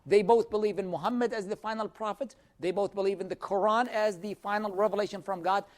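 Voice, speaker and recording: A man from Iraq, lecturing a church class in a measured, explanatory way and answering audience questions. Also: high voice, fairly even intonation. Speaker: teacher of a church class series.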